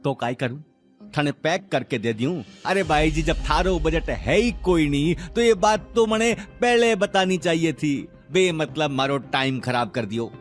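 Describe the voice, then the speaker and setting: Man playing a grumbling Rajasthani shopkeeper, higher-pitched. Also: lively intonation, a little slow, soft background music. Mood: angry, scolding. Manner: folksy, wheedling, grumbling dialect speech.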